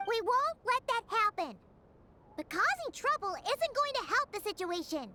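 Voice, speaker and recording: A woman voicing a small chirpy creature companion in a video game, excitable and teasing. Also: very high voice, normal speed, background noise. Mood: excited. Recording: game audio over music.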